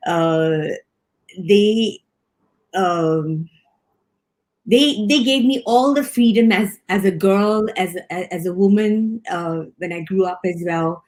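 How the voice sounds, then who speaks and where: A woman's voice: medium pitch, takes it slowly, darkish tone. A woman in her fifties from Singapore, a hospital director, talking casually and chattily in an interview, with many restarts and frequent laughs.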